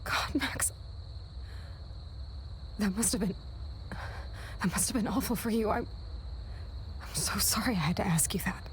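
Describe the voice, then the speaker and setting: An actress playing a brash, loyal young best friend in a video game, with an American accent and a slangy, heartfelt delivery. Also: female voice, mid-range voice, unhurried, pauses often, slight background noise, lightly acted. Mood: sympathetic, shaken.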